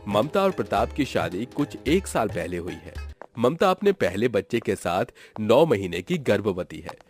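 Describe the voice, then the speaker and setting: Expressive low-voiced male storyteller. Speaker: narrator. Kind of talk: dramatic scripted story narration. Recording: music behind the voice.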